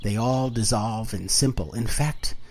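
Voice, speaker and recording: A man narrating a literary audiobook in a wry, dramatic, deliberately phrased way, moving between emphatic and hushed delivery. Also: fairly low pitch.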